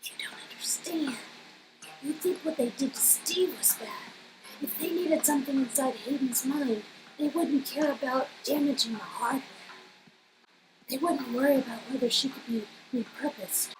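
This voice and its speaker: A woman voicing a grave, anxious corporate insider in an interactive game, hushed and urgent, on a noisy amateur recording. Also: high voice, normal speed, close to an everyday voice. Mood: urgent, worried. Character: grave, anxious female ex-employee of sinister corporation.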